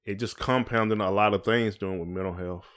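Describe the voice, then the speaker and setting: Low male voice, American accent, casual podcast host, colloquial, conversational. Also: fairly even intonation, moderate pace, fairly bright tone, clean tone. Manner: colloquial, often checks in with "you know".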